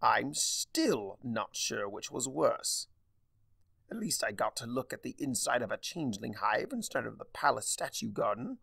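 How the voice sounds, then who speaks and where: A man voicing a theatrical, sardonic, mischievous male chaos spirit with a wry, drawn-out delivery in a fan fiction reading. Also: medium pitch, very animated, gravelly, a bit bright, breathy edge, dry recording, exaggerated voice. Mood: aggrieved, theatrical.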